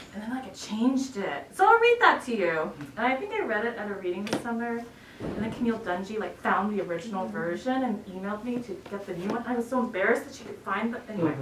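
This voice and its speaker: A woman poet talking casually to introduce her reading, informal, chatty and self-deprecating. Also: medium pitch. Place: bookshop reading event. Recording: echoey room, distant mic, noisy.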